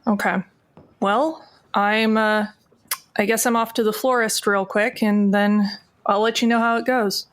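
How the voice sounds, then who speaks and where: A woman's voice: mid-range voice, level tone, a little slow. A woman roleplaying casually as a player in a tabletop game, understated and conversational.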